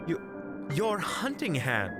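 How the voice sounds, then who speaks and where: An actor playing an anxious, excitable companion in an audio drama. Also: mid-range voice, slow pace, loud music behind the voice. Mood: alarmed. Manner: excitable, stammering. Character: anxious, alarmed young companion. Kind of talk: audio roleplay drama acting.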